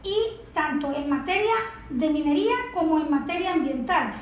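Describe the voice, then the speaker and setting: High-voiced Venezuelan woman in an echoey room. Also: expressive, moderate pace. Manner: didactic, asks and answers own questions. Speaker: environmental expert guest. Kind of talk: explanatory interview talk. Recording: echoey room with faint noise.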